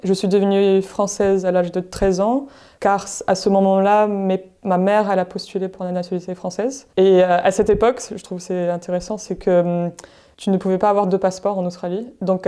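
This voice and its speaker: A woman's voice: mid-range voice, mellow, smooth. A woman in her twenties from New Caledonia, raised speaking English at home, telling her own story in a casual, personal way as a podcast guest. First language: English, spoken at home alongside French. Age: 20s. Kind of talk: personal testimony, casual chat.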